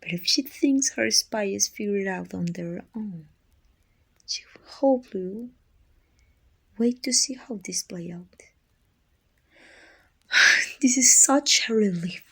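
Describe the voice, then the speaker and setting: A woman playing a dominant, haughty evil queen with a soft spot, musing imperiously in an ASMR roleplay. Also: medium pitch, slow, long pauses, exaggerated voice. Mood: condescending approval. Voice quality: husky, breathy with whispered edges. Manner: imperious, musing, teasing condescension. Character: dominant, haughty evil queen softening toward her little helper.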